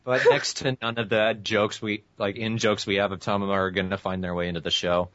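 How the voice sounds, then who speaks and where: Low-voiced, offhand man. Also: little pitch movement, fairly quick, fairly bright tone, dry recording. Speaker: fan dub voice actor. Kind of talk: casual podcast chat. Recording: dry, dull lo-fi recording.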